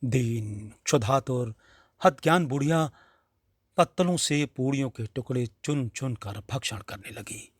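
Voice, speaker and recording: Guy reading a dramatic story, slow and measured, dry recording, expressive narrator. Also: medium pitch, breathy edge, no echo.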